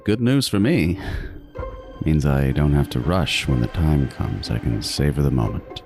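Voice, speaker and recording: A man playing a meticulous, obsessive serial killer who narrates a horror story in a deliberate, menacing manner. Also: a bass voice, lively intonation, music in the background, heavily acted. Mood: cold, calculating. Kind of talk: first-person scripted horror narration.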